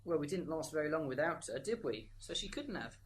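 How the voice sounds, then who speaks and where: A blunt, defensive schoolboy in a student radio play, voiced by a woman. The voice is fairly low for a woman and lively in pitch. A faint noise runs behind it. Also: brisk. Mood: resentful. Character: sullen, blunt schoolboy son in the witness stand. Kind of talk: amateur student radio drama acting.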